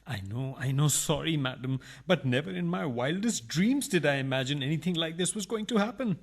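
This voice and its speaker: Male actor as hapless impostor husband, high voice, animated, plaintive, cheeky, radio comedy. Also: fairly quick. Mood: sheepish. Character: hapless, cheeky intruder reluctantly posing as a husband. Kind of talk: radio farce acting.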